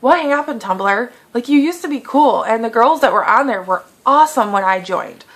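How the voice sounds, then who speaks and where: Woman in her twenties, beauty vlogger, higher voice, chatty, lots of fillers. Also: expressive, moderate pace, rough recording. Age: 20s. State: lingering cough, clearing throat. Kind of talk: casual Q&A vlog.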